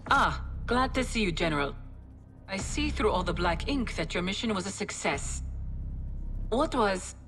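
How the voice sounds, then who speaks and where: A woman's voice: mid-range voice, expressive, moderate pace, creaky, over music. An actress playing a composed, polite female military aide in a video game cutscene, speaking formally. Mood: composed, welcoming.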